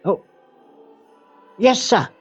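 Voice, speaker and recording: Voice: very slow, soft background music. A performer playing a meek, good-hearted male clerk in a radio play, hesitant and deferential. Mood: timid, nervous.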